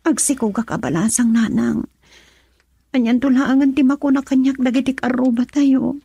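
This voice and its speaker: A sorrowful young daughter in an Ilocano radio drama. The voice is high and mellow, heavily breathy, with a creak running through it. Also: female voice, moderate pace, no echo, full character voice. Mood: sad, anguished.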